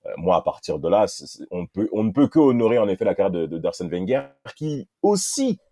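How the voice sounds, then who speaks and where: A man with a very low, dark voice, recorded close and dry. He talks as a podcast panelist, pushing his opinions forward haltingly and repeating words for emphasis. The sound is a little husky. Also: lively intonation, no room sound.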